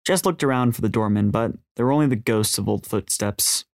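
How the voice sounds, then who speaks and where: A man narrates a fiction story in audiobook style. His voice is low, and the recording is dry and close with little room sound. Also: brisk, dry recording.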